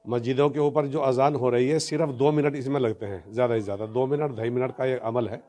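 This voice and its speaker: A man speaking unscripted in an interview, explaining his view in a halting, emphatic, wordy way. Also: medium pitch, fairly even intonation, mellow.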